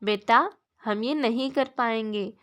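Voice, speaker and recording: A woman voicing a gentle, practical mother in a cartoon story. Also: fairly high pitch, slow pace. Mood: patient.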